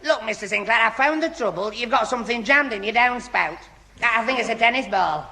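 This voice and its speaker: A man's voice: very high-pitched, animated, a little fast, vocal fry, sharp tone, exaggerated voice. An actor playing a gormless, flustered young man who stammers and gets muddled, in a 1960s radio sitcom. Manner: stammering, tongue-tied. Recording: noisy old radio recording.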